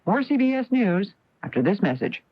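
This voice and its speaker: A man's voice: high-pitched, very animated, normal speed, dry recording. An American man reading a scripted newscast as a radio anchor. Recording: dull lo-fi vintage AM radio.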